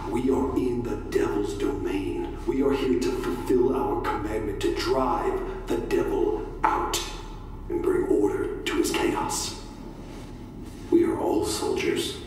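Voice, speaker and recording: A man's voice: mid-range voice, fairly even intonation, a little mellow, lightly acted. A man playing a fanatical, devout soldier prisoner in a game audio log, preachy, solemn and menacingly certain. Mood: fervent. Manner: preachy, solemn, slow and deliberate. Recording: echoey hall from far off, faint noise.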